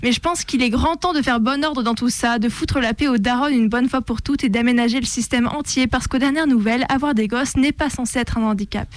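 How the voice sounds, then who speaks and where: A woman's voice: a little high, a little fast, hardly any pauses, no room sound. A woman with a French accent presenting a scripted opinion piece on radio in a militant, rhetorical, slangy way. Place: radio studio.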